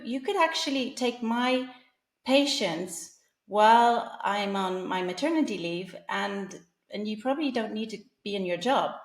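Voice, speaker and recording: A woman's voice: medium pitch, roomy sound. A woman in her forties from England, talking casually as an interview guest, with many fillers and restarts. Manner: chatty, frequent fillers and restarts, laughs often.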